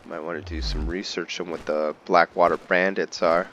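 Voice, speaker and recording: A man's voice: sits low, level tone, normal speed, a bit bright, highs cut off. A man giving casual, laid-back video game commentary, musing aloud to himself as he plays. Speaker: gamer narrating own video game playthrough. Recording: faint background noise.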